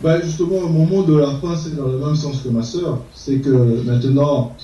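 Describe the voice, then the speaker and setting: A man's voice: on the high side, fairly even intonation, normal speed, dark tone. A man giving interview answers in a hesitant way, with frequent filler sounds. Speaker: political activist. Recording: echoey, distant, dull sound.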